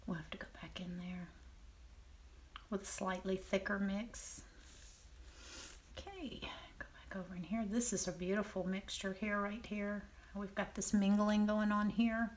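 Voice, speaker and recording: A woman with a Southern American accent and a raspy, half-whispered voice, calmly talking through a painting demonstration and often clearing her throat. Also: medium pitch, a little hiss or hum. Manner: unhurried, thinking aloud while painting, frequent throat clearing. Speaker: painting teacher.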